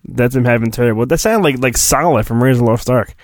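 A man's voice: mid-range voice, fast talker, no echo, clean studio sound. A male podcast host giving a mock-dramatic storybook reading, with hammy emphasis and rambling joking asides. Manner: hammy, exaggerated emphasis, digressive.

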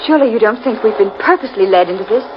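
An actress playing a fearful, distressed woman lost in a dark forest, in a dramatic 1940s radio horror style. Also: a little high, expressive, racing, close to an everyday voice. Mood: anxious. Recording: muffled, faintly noisy old radio recording.

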